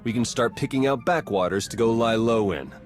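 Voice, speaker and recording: An actor playing a casual, slightly awkward spy ally in a video game, talking in an informal way. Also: male voice, medium pitch, expressive, a little fast, music in the background, close to an everyday voice. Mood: flustered. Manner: informal, colloquial. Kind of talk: video game cutscene acting.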